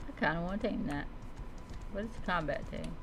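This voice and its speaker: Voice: mid-range voice, fairly quick. Playful game streamer over noisy game sound. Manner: playful, talks to game creatures like pets. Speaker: streamer playing a survival game. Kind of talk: casual gameplay commentary.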